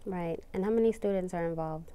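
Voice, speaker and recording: A woman interviewing a guest, asking measured, slightly hesitant questions. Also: on the low side, mellow, clear, resonant tone.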